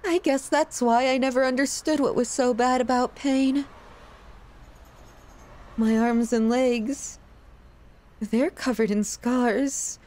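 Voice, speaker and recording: A woman playing an obsessive, self-loathing young yandere in an intimate ASMR roleplay, with an emotional, halting, pleading delivery. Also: sits high, fairly even intonation, darkish tone. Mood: tender, melancholy.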